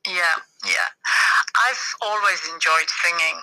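A woman's voice: lowish voice, sing-song, a little slow, sharp tone, a little hiss or hum, poor audio. A middle-aged woman from the west of Ireland with an Irish accent, reflecting on personal experience as a podcast guest in hesitant, thoughtful phrases.

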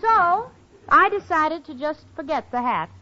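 A woman's voice: sits high, very animated, a little slow, pushed and bright, full character voice. An American actress playing a sensible, wry young woman with a sarcastic manner in a 1940s radio comedy. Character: wisecracking, sensible young female roommate, wry. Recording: dull noisy old radio, audience behind.

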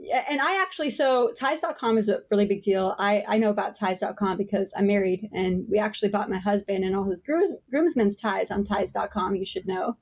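Podcast host: woman, mid pitch, upbeat, casual and friendly. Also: fairly quick, few pauses. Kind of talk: casual interview chat.

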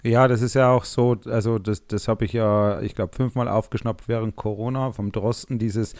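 A man's voice: lowish voice, fairly even intonation, normal speed, a little mellow, no echo. A man with a German accent chatting as a podcast co-host in a colloquial, blunt, rambling way. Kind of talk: casual podcast chat.

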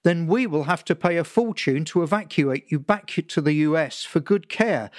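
A man playing an angry, lecturing father who talks slowly and emphatically. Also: high-pitched, dry recording, studio quality, pitched above the performer's own voice. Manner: emphatic, slow and pompous.

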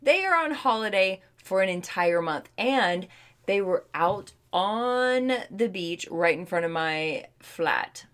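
A woman co-hosting a podcast, chatting casually and telling of a business move abroad with emphatic, quick remarks. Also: mid-range voice, lively intonation, a bit bright. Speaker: podcast co-host. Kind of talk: casual chat.

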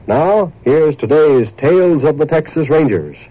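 A man's voice: on the high side, lively intonation, measured pace, mellow, a little hiss or hum. A man announcing network programs in a 1950s radio promotional style. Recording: muffled old radio broadcast.

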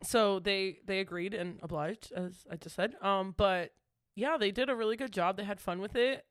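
A young woman co-hosting a podcast, chatting casually in a relaxed, rambling way. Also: mid-range voice, normal speed, dry recording. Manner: relaxed, chatty, hesitant with fillers. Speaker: podcast co-host. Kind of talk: casual chat.

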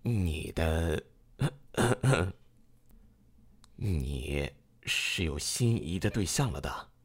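A man playing a genial middle-aged father in an audio drama, gently probing and hospitable. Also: deep voice, lively intonation, drawn-out words, breathy edge, heavily acted. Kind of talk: modern audio drama acting.

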